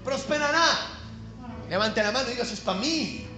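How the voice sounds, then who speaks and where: A man with a coastal Colombian accent preaching a sermon to a congregation, emphatic and slowing down to stress key phrases. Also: very high-pitched, lively intonation, music in the background. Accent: Colombian Caribbean coastal. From Caribbean coast of Colombia. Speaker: pastor. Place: church hall. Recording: echoey church hall.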